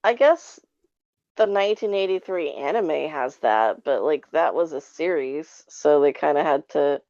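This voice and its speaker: A woman's voice: deep voice, animated, unhurried, darkish tone, dry recording, highs cut off. A woman giving her take in a casual, rambling review chat with an informal way of talking. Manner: rambling, informal, frequent 'like'. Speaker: podcast guest reviewer.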